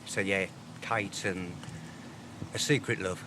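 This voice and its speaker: Traffic and outdoor ambience sit clearly behind a man with a fairly low voice. He speaks frankly and personally about his poems, hesitating and pausing as he reflects. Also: steady tone. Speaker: poet. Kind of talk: candid personal talk about own poems.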